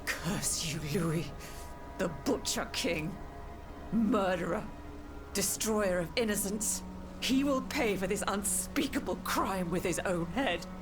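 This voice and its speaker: A woman's voice: medium pitch, slow pace, natural voice. An actress playing a grieving, vengeful queen in a video game cutscene, theatrical and imperious. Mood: bitter fury. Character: grieving, vengeful queen mourning her young son. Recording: dramatic music behind the voice. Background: music.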